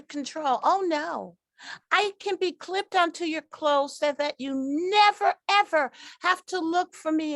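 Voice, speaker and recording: A woman's voice: very high-pitched, a bit bright, no echo, exaggerated voice. A woman voicing a chirpy, eager talking TV remote in an old-time radio commercial parody.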